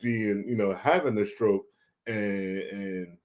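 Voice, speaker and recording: Low-voiced man telling a personal story. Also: steady tone, a little mellow. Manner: halting, repeats words as they think aloud. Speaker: guest sharing a personal health story. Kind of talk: casual conversational storytelling.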